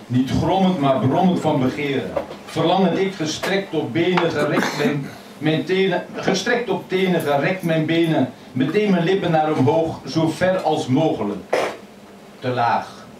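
A man reading his poems aloud in a measured, expressive way at a microphone in a crowded old café. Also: fairly high pitch, recorded from a distance, background noise. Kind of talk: poetry reading. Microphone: stand mic, filmed from across the room. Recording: echoey café filmed from afar, loud chatter.

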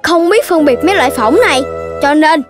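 Know-it-all cartoon puppy kid, very high pitched, Southern Vietnamese, earnest lecturer and chider. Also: animated, fairly quick, music in the background. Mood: earnest, instructive. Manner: instructive, chiding. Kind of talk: Vietnamese children's 3D cartoon dubbing.

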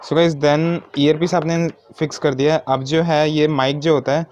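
A man's voice: a little high, level tone, normal speed, a little mellow. A man giving an informal hands-on tutorial step by step, mixing in English words. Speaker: motovlogger giving a how-to demonstration. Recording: dull, muffled lo-fi sound.

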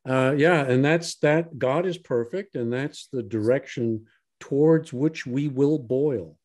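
A man's voice: medium pitch, slow, darkish tone. A man co-hosting a podcast in a casual, folksy way, repeating short phrases for emphasis. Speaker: podcast co-host. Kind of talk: casual, conversational discussion.

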